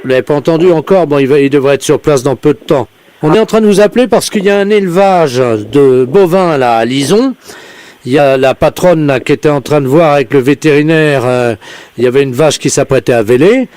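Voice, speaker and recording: A man playing an earnest gendarme on a prank call, deadpan and insistent as he explains. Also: fairly high pitch, fairly quick, dry recording, close to an everyday voice. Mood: matter-of-fact. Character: earnest male gendarme reporting an absurd emergency.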